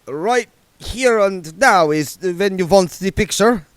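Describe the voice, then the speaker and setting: A man's voice: high voice, wildly swooping pitch, moderate pace, mellow, no echo, pitched above the performer's own voice, heavily acted. A man voicing a nervous, thin male vampire photographer who speaks in an anxious, overly polite way.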